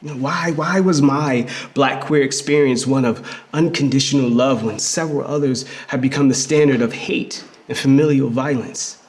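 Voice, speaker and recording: An actor playing a reflective man in a theatrical first-person memoir monologue, telling it conversationally with emphasis and pauses. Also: medium pitch, lightly acted. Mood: earnest, impassioned. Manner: conversational, emphatic storytelling with pauses and repeated words. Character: reflective queer man fondly recalling his hustling grandmother. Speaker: actor in a dramatic reading of a memoir. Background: music.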